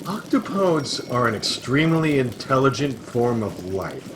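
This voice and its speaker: A man acting as a self-important, bookish space adventurer, deliberate and pedantic, in a sci-fi satire audio drama. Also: mid-range voice, big pitch swings. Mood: pedantic, earnest. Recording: noisy home video-call audio.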